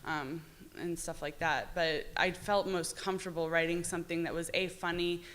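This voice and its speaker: A woman's voice: on the low side, steady tone, normal speed, fairly bright tone. An American woman novelist talking informally about her own work, candid and rambling, often saying "like". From New York City, United States. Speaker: novelist discussing own book. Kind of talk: informal author talk.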